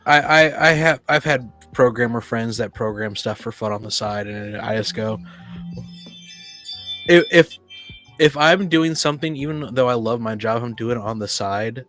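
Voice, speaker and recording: A man's voice: medium pitch, normal speed, fairly bright tone, faint music behind. A man chatting casually as a podcast panelist, often repeating his first words as he starts a sentence. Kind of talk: casual chat.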